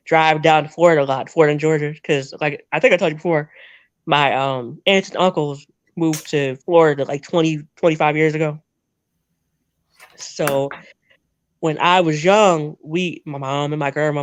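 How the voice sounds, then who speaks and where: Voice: medium pitch, smooth, dry recording. An interview guest from New Jersey with a half-Northern, half-Southern American accent, telling rambling stories in a chatty way with frequent laughs. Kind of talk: casual storytelling chat.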